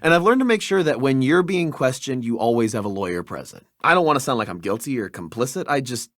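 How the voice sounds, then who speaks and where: An awkward young man as a video game intern. He is evasive and hesitant, with a lively, varied intonation and a dry studio sound. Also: mid-range voice, fast talker, dry recording. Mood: awkward, guarded. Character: cagey, awkward young male legal intern.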